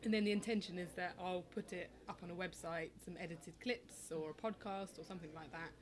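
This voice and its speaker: A young woman from England with an English accent, answering interview questions in a relaxed, hesitant way with many ums and restarts. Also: fairly low pitch, fairly quick. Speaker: interview guest, oral history project maker. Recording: crowd noise in background.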